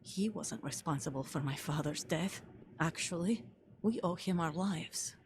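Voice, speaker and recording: A woman's voice: low-pitched, unhurried, darkish tone, a little hiss or hum. An actress playing a guarded female soldier and grieving mother in a video game, grave, terse and commanding. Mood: serious, explanatory. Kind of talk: dramatic video game voice acting.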